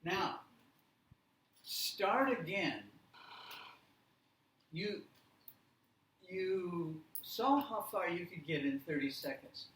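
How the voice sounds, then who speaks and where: A man teaching a live workshop, giving deliberate, simple instructions with repetition and check questions. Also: high-pitched, lively intonation, a bit bright. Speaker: language teacher leading a workshop. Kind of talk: live classroom instruction with simple step-by-step directions. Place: indoor classroom or presentation room. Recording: echoey room, distant mic, faint noise.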